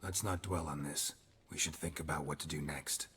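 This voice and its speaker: An actor playing a stoic, world-weary monster hunter in a video game, terse and deadpan. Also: male voice, extremely low pitch, moderate pace, slight background noise. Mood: terse, subdued.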